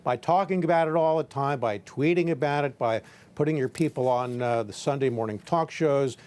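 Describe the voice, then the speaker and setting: A man's voice: medium pitch, moderate pace, darkish tone. A man commenting on politics as a guest in a live news discussion.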